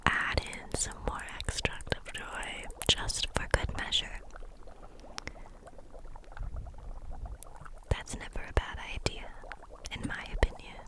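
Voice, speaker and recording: A woman playing a gentle, kindly kitchen witch in an ASMR roleplay, whispering softly in a soothing, encouraging way. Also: natural voice. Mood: cozy, chatty. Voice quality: breathy unvoiced whisper.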